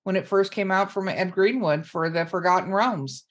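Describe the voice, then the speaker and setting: A woman's voice: mid-range voice, normal speed. A woman hosting a casual interview, chatting in an enthusiastic, blunt way. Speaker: podcast host interviewing an author. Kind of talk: casual chat.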